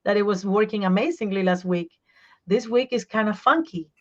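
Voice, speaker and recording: Brief: woman voice teacher, animated, searches for English words, mid pitch, muffled line. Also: normal speed. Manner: animated, explains with examples and laughs. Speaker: voice teacher and singer, podcast guest. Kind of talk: casual interview talk.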